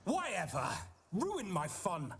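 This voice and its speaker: A playful spectral nobleman, fairly high and wildly sing-song. He sounds set back from the mic, with clear noise behind him. Game dialogue. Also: huge pitch swings, slow pace, a bit bright, distant mic, heavily acted. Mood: mischievous. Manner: theatrical, whimsical. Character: mischievous male ghost nobleman, bored and playful.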